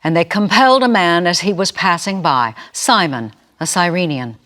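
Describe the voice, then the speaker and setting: A narrator giving an expressive, dramatic reading of a scripture story. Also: mid-range voice, normal speed. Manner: expressive, acts out quoted speakers.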